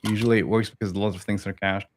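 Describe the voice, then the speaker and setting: Halting man demoing code. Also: on the low side, little pitch movement, noisy background. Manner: halting, repeats words while working on screen. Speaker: guest developer. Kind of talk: casual tech chat while demoing code.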